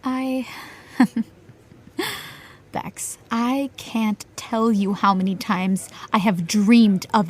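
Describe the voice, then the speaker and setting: A woman playing an eager, nervous aspiring actress in an audio drama, earnest and prone to stammering. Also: fairly high pitch. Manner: hesitant, stammering.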